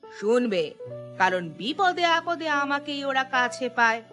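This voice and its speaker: A woman's voice: high-pitched, very animated, unhurried, sharp tone, faint music behind, heavily acted. A woman voicing a vindictive, commanding, wealthy village matriarch in a cartoon, with a scornful manner. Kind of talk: Bengali cartoon dialogue.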